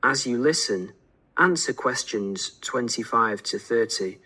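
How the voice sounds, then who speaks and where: A man reading scripted exam instructions as an announcer, in a formal, measured manner. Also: mid-range voice, expressive, sharp tone, husky.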